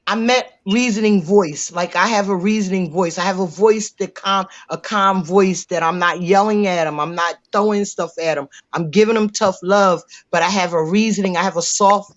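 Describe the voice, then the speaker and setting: A man's voice: high voice, moderate pace, hardly any pauses, fairly bright tone. A middle-aged man in recovery talking bluntly in a casual debate, often repeating himself. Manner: blunt, repetitive, talks over others. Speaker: recovering alcoholic. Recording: dull lo-fi audio.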